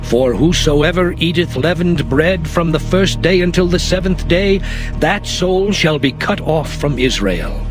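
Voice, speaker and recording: A man voicing the Lord, solemn and commanding. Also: on the high side. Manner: solemn, measured proclamation. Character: the Lord, commanding divine voice giving laws. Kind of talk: dramatized scripture reading. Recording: music under the voice.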